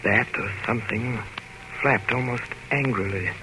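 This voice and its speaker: An actor playing an earnest, sombre gentleman diarist, measured and dramatic, in 1930s radio narration style. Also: medium pitch, natural voice. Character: earnest, sombre gentleman reading from his private journal. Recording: noisy 1930s radio recording.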